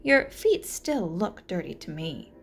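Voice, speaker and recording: A woman's voice: on the low side, big pitch swings, slow pace, a bit bright, a little hiss or hum, exaggerated voice. A woman voicing a sly, greedy male spider in a children's storybook, with a fussy, polite manner. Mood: smug, scolding. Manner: polite, prim, throat-clearing. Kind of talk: children's storybook read-aloud.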